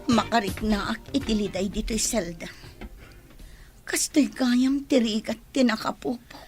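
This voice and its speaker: A woman with a husky voice and a Filipino accent playing a remorseful, worried prisoner in a radio drama. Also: on the high side, measured pace, a little mellow, soft background music, natural voice.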